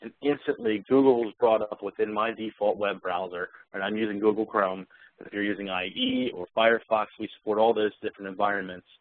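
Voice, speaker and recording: A man with a fairly low voice leads a live software demo. He explains features and issues voice commands. The sound is dry, with very little room around it. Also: a little fast, no room sound, narrow, tinny audio. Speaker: software demonstrator.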